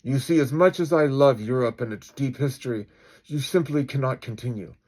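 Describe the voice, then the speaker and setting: A man's voice: medium pitch, moderate pace, a little mellow, voice pushed up, close to an everyday voice. Man performing a male police chief, stern, duty-bound, formal, emphatic, story retelling. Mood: stern, indignant.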